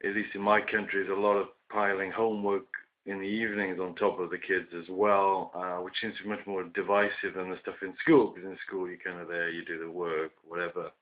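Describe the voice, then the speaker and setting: A very low-voiced man from the UK talks through his views, hoarse and a little rough from a chest infection. He pauses often with ums and restarts his sentences. The recording sounds dull and lo-fi. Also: little pitch movement. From United Kingdom. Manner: hesitant, many ums and restarts. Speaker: vlogger. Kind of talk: casual opinion monologue.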